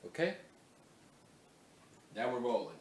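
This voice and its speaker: A man reads voice-over narration for a video essay in a casual, self-correcting way, stopping to redo lines and talking loosely between takes. His pitch moves a lot as he talks. He sits away from the mic in an echoey room, with a faint hum of ambience behind him. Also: sing-song, slow pace, a little mellow. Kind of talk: recording voice-over takes with off-script chat. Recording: roomy echo, distant mic, faint ambience.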